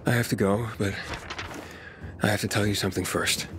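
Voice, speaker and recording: A man's voice: lowish voice, steady tone, a little fast, noisy background, close to an everyday voice. An actor playing an earnest, plain-spoken male survivor in a video game, talking in a casual, laconic way. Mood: earnest, guarded. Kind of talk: video game cutscene acting.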